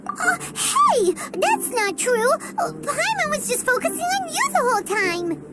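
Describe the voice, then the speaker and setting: A woman voicing a tiny, childlike, excitable floating fairy companion in a video game, easily flustered and indignant. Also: very high-pitched, takes it slowly, exaggerated voice. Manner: refers to herself in the third person, indignant exclamations. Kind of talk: video game character acting. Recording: loud music behind. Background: game music.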